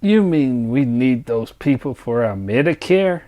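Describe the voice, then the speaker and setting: A man's voice: mid-range voice, animated, measured pace, dark tone, lightly acted. A man playing a blunt, resentful, set-in-his-ways engineer in an exasperated dramatized dialogue. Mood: sceptical grumbling.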